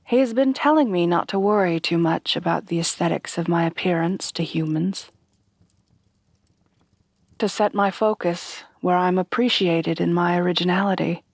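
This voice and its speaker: A woman playing a refined, tender headless horsewoman bride in a soft-spoken ASMR roleplay, with formal, genteel phrasing. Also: fairly low pitch, normal speed, no room sound. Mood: confiding. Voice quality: soft, breathy at times.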